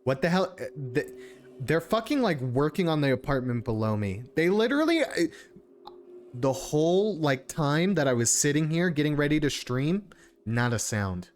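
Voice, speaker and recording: A man's voice: a little high, very animated, normal speed. A man doing casual live-stream game commentary, irreverent, sweary and easily distracted. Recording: faint background noise.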